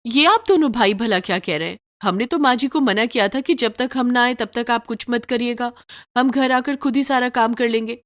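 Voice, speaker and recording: A woman's voice: on the high side, moderate pace, a bit bright, pitched above the performer's own voice, natural voice. A woman voicing a sly, lazy young daughter-in-law in a cartoon moral story, pert and falsely polite as she justifies herself. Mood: indignant, feigning innocence. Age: young adult.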